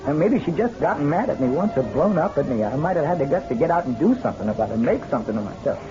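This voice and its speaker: A man's voice: on the high side, very animated, quick pace. A man playing a bitter, self-pitying drunk and grieving husband in a detective radio drama, world-weary and sardonic. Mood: self-pitying, regretful. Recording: muffled radio recording, music underneath.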